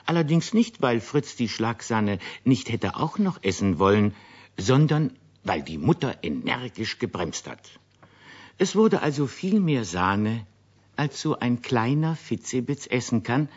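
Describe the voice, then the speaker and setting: A man narrating a children's radio play as a storyteller, with a husky voice. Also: fairly low pitch, full character voice. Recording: dull old radio recording.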